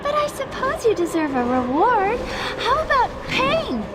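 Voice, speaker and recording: Very high-pitched childlike villainess. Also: female voice, very animated, a little slow, very noisy, full character voice. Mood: gleefully cruel. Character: sadistic childlike villainess, mock-sweet daughter. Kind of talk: video game villain acting. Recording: loud music under the voice.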